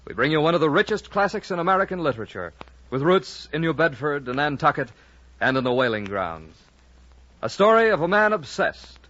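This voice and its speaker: A man's voice: a little high, a bit bright. A man announcing an old-time radio drama in a grand, declamatory way. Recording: dull vintage radio recording with music.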